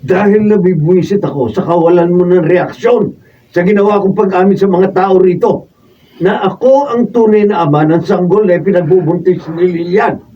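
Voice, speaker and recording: An actor playing an aggressive young rival in a Filipino radio drama, taunting and goading. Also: male voice, high voice, moderate pace, far from the mic, natural voice. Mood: angry. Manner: belligerent, goading. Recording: dull off-mic sound, faint noise.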